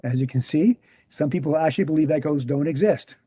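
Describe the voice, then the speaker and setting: A man playing an earnest, weary veteran researcher explaining things in a measured way at a screenplay table read. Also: a little high, dark tone, husky, dry recording. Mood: explanatory.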